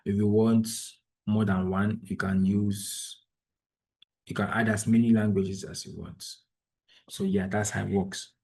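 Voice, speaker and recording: A man's voice: lowish voice, little pitch movement, a little slow, gravelly, a little mellow, breathy edge. A man whose first language is Igbo, from Nigeria, patiently walking through an online tutorial step by step over a video call. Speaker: trainer. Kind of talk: screen-share tutorial.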